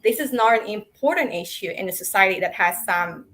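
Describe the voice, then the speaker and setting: A woman's voice: mid-range voice, animated, fairly quick, fairly bright tone, poor audio. A young woman from Morocco, telling her career story earnestly as a panel guest on a video call, often restarting her sentences.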